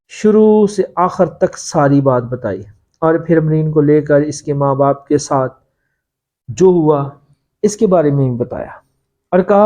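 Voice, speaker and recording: Man, story narrator, scripted dramatic reading, relaxed dark timbre, slightly raised pitch. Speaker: audiobook narrator.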